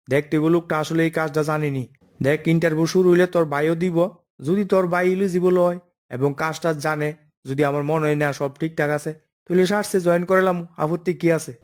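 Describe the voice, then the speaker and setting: A man's voice: on the high side, fairly even intonation, quick pace, natural voice. A man voicing a stern, by-the-book office boss in a comic cartoon, bossy and explanatory, with an eastern Bengali dialect. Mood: stern, instructing. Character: stern, by-the-book male office boss holding job interviews.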